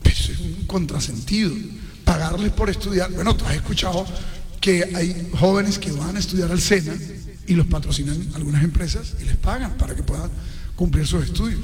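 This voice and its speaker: A man's voice: high-pitched, a little fast, a little mellow, in a large hall. Earnest middle-aged Colombian Caribbean man. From Colombian Caribbean coast. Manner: earnest, speech-like, stacks lists of points. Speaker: political candidate, radio guest. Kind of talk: radio interview.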